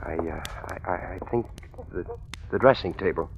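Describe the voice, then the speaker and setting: An actor playing a nervous, cowed captive in a 1940s radio thriller, speaking hesitantly. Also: male voice, deep voice, creaky, a little hiss or hum. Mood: hesitant, fearful. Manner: hesitant, halting. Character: nervous, cowed male captive called Curly, also narrating grimly. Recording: muffled vintage radio recording with music.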